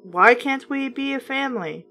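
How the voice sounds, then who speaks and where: A woman's voice: high-pitched, lively intonation, normal speed, bright, forceful tone, soft background music, exaggerated voice. A woman voicing an exasperated, weak-willed father in a storybook reading, pleading and fed up. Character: exasperated, spineless father pleading with his family. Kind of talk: children's storybook narration.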